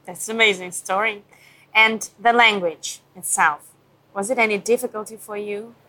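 A woman correspondent puts questions to her guest in a polite, measured way. Her voice sits at an ordinary middle pitch. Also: steady tone, pushed and bright, low-quality recording. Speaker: TV correspondent, interviewer. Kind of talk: interview questions.

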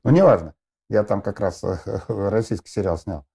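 A middle-aged man with a low, dark, mellow voice. He talks casually as a guest, rambling through fillers, half-words and restarts as he works out his thoughts. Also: normal speed, creaky, slightly rough. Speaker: film director guest. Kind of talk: casual discussion.

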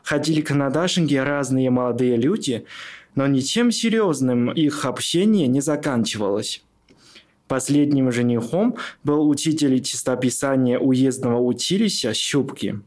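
A man's voice: mid-range voice, animated, normal speed, a little mellow. A man reading scripted literary narration as the storyteller of a radio play.

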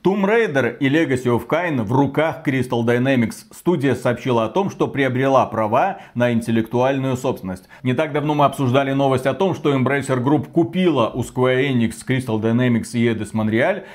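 Man hosting casual gaming news, opinionated. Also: mid-range voice. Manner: opinionated, colloquial, with mild crude slang. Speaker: gaming news show co-host. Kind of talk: conversational news commentary with personal opinions.

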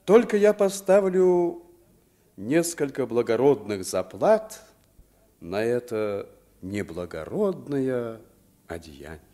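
A man's voice: medium pitch, big pitch swings, takes it slowly, warm tone. A man playing a grumbling, exasperated poor parent in a theatrical radio play. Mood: sarcastic, indignant.

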